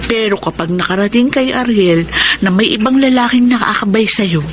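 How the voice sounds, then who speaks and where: A woman's voice: mid-range voice, normal speed, background noise, natural voice. A woman acting a worried, frank female friend giving caution in a Filipino radio drama, with a Filipino accent. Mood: concerned, teasing.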